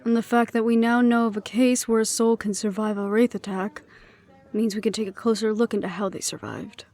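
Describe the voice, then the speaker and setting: A woman playing a composed, dutiful middle-aged leader, speaking in a measured, reflective way in an audio roleplay. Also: medium pitch, fairly even intonation, lightly acted. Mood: reflective, resolute. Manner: measured, reflective, quietly firm.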